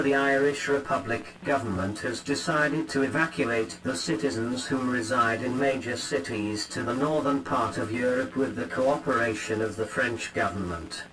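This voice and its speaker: A man with a mid-range voice reads an emergency weather alert. His delivery is calm, clipped and official. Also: mid-range voice, poor audio. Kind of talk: formal emergency alert reading.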